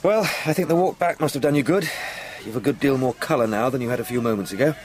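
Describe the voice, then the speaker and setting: An actor playing an eager, courteous young London solicitor in a radio drama, chatty and well-spoken with an English accent. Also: male voice, mid-range voice, quick pace, darkish tone, lightly acted, rough recording.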